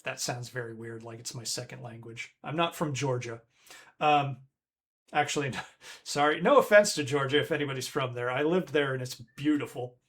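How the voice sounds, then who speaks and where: A man's voice: mid-range voice, moderate pace, a bit bright. A man, hosting a retro game stream in a rambling, chatty way that keeps correcting itself. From Northern Ireland. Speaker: streamer host.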